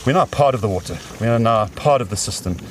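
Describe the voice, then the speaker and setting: A man's voice: lowish voice, animated, fast talker. A man talking unscripted as an expedition member, recorded outdoors on a river boat. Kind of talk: unscripted field talk. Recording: distant field recording with music over.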